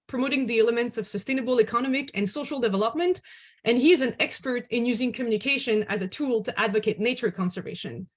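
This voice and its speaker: A woman's voice: a little high, husky. A woman hosting an online dialogue, giving a prepared presentation. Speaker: host and moderator.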